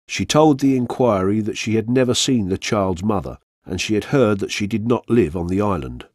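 Low-pitched male radio narrator, dry sound. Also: darkish tone, no echo. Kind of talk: scripted narration of a true-crime story for radio.

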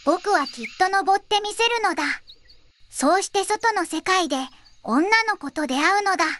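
A woman's voice: very high-pitched, lively intonation, moderate pace, fairly bright tone, slight background noise. A synthetic female voice playing a small, earnest, lonely but determined boy creature in an audio fairy tale, cutesy and stilted. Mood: hopeful. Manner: cutesy, stilted, ends sentences with a verbal tic.

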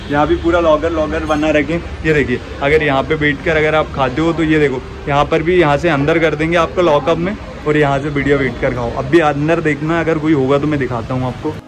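A young man, chatting casually to viewers as he films a food vlog on a phone held at arm's length in a cafe. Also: on the high side. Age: 20s. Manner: chatty, keeps addressing viewers as bhaiya. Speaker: food vlogger. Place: cafe, indoors and out front on a city street. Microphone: phone at arm's length, selfie. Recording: noisy street and cafe sound.

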